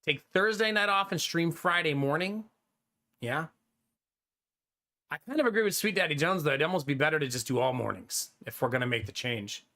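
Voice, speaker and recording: A young adult man streaming live, chatting casually with his viewers, animated and talkative, often laughing. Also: on the high side, brisk.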